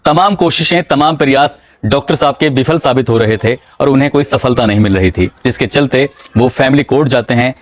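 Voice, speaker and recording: A man's voice: mid-range voice, brisk, few pauses, no echo. Hindi man narrating true crime, dry.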